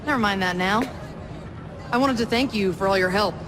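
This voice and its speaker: A man voicing a smooth-talking, businesslike, slyly courteous Victorian crime fixer in a video game. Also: sits high, a little fast, a crowd in the background, background noise, natural voice. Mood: grateful, brisk. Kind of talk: video game cutscene acting.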